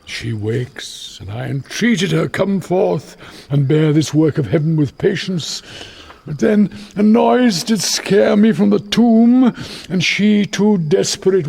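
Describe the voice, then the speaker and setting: Old friar in a shakespeare radio play, mellow gravelly voice, slow sad and humble. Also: male voice, sits high, exaggerated voice. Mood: grave, sorrowful. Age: older. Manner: measured, solemn storytelling delivery. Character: elderly friar, sorrowful, humbly confessing. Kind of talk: classical Shakespearean radio drama acting.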